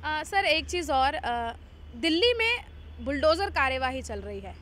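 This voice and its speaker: A woman's voice: sits high, sing-song, measured pace, a bit bright, a little hiss or hum. A woman working as a news interviewer, putting questions politely. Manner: polite, frequent brief acknowledgements.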